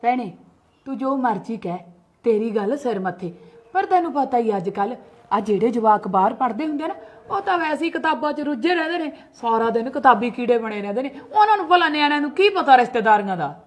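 A woman's voice: on the high side, expressive. A middle-aged woman, acting a defensive mother who argues back and scolds her sister-in-law in a family skit. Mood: reasoning. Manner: argumentative, quick retorts, scolding.

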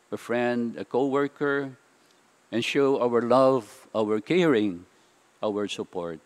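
An older man with a Filipino accent, a priest giving a homily in a large church through a lectern stand mic. He talks in a slow, halting way full of "uh" fillers and slips between English and Tagalog. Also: medium pitch. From Philippines. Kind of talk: sermon.

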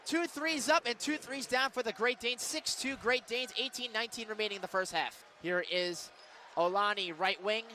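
High-pitched, excitable basketball play-by-play man. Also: normal speed. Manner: excitable, punchy calls. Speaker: radio play-by-play announcer. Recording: arena crowd and court noise behind. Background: basketball game in an arena.

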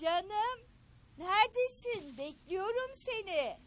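A woman voicing a loving old grandmother in a children's cartoon, tender and gently scolding. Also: very high-pitched, animated, slow, background noise, exaggerated voice. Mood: calling, concerned. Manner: tender, doting.

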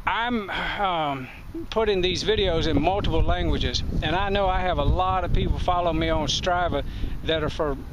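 An older American man with a Southern Midwest twang chats folksily to a camera held at arm's length, his voice sitting fairly high for a man. He's outdoors by a country pasture, and clear wind noise rushes over the recording. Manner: folksy, talks to llamas in a high coaxing voice. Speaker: cyclist vlogger. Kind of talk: casual chat to camera. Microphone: handheld camera at arm's length.

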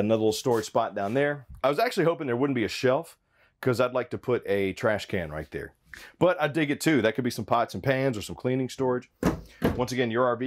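A man in his forties giving an upbeat, conversational walkthrough of a travel trailer, recorded on a lapel mic in a small room. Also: slight background noise. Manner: upbeat, conversational salesman pitch. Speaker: RV sales presenter. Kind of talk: walkthrough product review.

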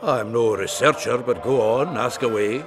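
An earthy, bawdy fantasy comrade, played by a man chats in a mid-pitched male voice. He is wry and good-humoured in the way of a game companion, and music plays clearly behind him. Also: normal speed, natural voice. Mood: wry, jovial. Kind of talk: video game character acting.

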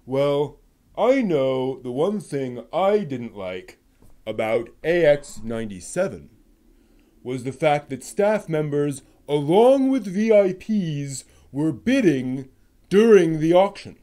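A man acts out an indignant puppet fan in a comic dramatic reading. The voice is dark and mellow, swinging widely in pitch. Every grievance is declaimed slowly, with heavy emphasis. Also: sing-song. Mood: aggrieved. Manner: slow, emphatic, aggrieved declaiming.

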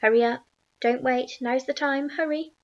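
A strange little old man leans in close, his slow, creaky voice dropping to a conspiratorial whisper, as a woman narrator puts him on. The gravel in his throat is thick, and he coaxes like he is sharing a secret. The storybook recording sounds a little dull and muffled. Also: high-pitched, steady tone. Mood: urgent instructions.

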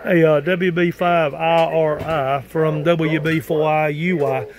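A man's voice: a little high, fairly even intonation, slow pace, crowd noise. A man talking casually as an amateur radio operator, asking and answering in conversational ham radio style.

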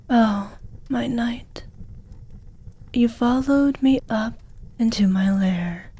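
Slow, soft dragon lady played by a woman. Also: a little high. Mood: coy, curious. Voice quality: soft, breathy, half-whispered. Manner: slow, intimate, tender. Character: wounded, seductive, gentle dragon lady in human form. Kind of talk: ASMR fantasy roleplay.

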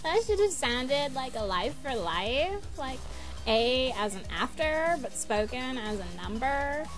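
Woman acting a hyped, high-voiced gamer troll girl. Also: big pitch swings, heavily acted, poor audio. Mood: excited, cheerful. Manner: exuberant surfer-style slang, drawn-out exclamations. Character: hyped young female troll gamer girl, slangy and brash. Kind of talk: amateur fandub voice acting. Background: music.

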